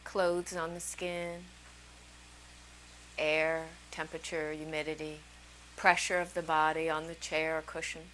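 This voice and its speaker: A woman leading a guided meditation as a teacher, speaking soothingly in short, unhurried phrases with long pauses between them. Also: low voice, level tone, fairly bright tone, slight background noise, rough recording. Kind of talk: guided meditation, slow calming instruction.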